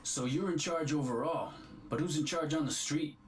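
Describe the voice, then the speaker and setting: A man's voice: on the high side, normal speed, slight background noise, close to an everyday voice, rough recording. A man playing a streetwise, easygoing federal agent in a video game. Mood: wary. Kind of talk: video game cutscene acting. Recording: voice under loud music.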